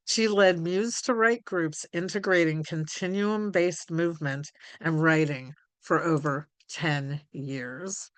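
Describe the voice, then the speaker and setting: A woman hosting a live online conversation over a video call, talking in a halting way with many ums and restarts. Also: deep voice, a bit bright, no room sound. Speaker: host.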